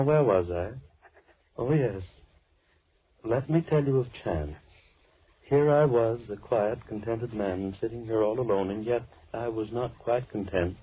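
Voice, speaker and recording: Villain for vintage radio thriller: a lowish-voiced, courteous madman, measured and mannered, muffled recording. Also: husky. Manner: measured, mannered, politely menacing. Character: soft-spoken, menacing madman holding two girls captive. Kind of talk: old-time radio drama acting.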